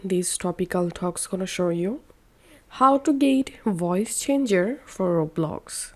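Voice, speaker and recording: A woman narrating a step-by-step software tutorial in simple, instructional wording. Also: on the low side, expressive, slow pace. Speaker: tutorial host.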